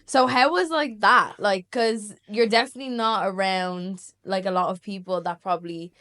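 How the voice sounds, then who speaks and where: Woman co-host, mid pitch, chatty and thoughtful. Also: normal speed, a bit bright. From Ireland. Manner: chatty, many "like" fillers, reflective. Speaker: podcast co-host. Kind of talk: casual podcast chat.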